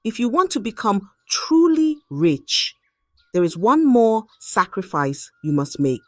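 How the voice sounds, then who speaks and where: A woman's voice: mid-range voice, very animated, darkish tone, no echo. Woman voicing a cold, menacing male chief priest. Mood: cold menace. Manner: grave, ominous, deliberate. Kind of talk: folktale character voice in narration.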